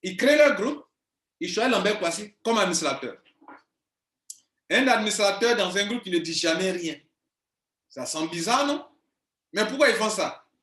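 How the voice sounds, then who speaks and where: Man, 40s, business coach; high-pitched, insistent, repeats points, roomy echo. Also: animated, normal speed, long pauses, a bit bright. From Ivory Coast. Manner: insistent, emphatic, repeats himself. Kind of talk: video monologue to viewers. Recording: echoey room.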